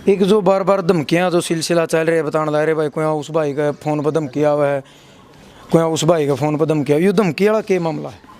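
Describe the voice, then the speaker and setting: A man's voice: fairly high pitch, fairly even intonation, brisk, a little mellow. A man with a Haryanvi accent working as a reporter, interviewing people and restating their accounts in a repetitive, brotherly way of addressing listeners. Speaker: local reporter interviewing a family. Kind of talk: on-location interview with spoken summaries.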